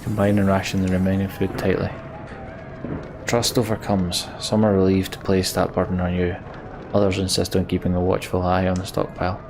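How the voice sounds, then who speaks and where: A man narrates a video game as a let's play host, reading dialogue and text aloud. His voice sits very low with plenty of fry, and there is faint noise behind him. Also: completely flat delivery, a little fast. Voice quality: low, creaky.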